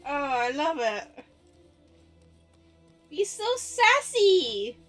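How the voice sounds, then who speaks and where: A woman's voice: high-pitched, very animated, drawn-out words, a bit bright, soft background music. A woman giving casual, exclamatory livestream commentary on a game, repeating phrases and swearing freely.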